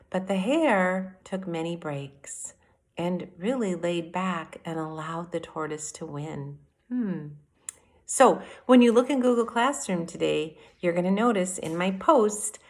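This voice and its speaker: A woman teacher reading aloud and explaining to young children in a deliberate, expressive way, speaking to them directly. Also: fairly low pitch. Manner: deliberate, addresses children directly with questions and asides. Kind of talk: expressive children's read-aloud and mini lesson.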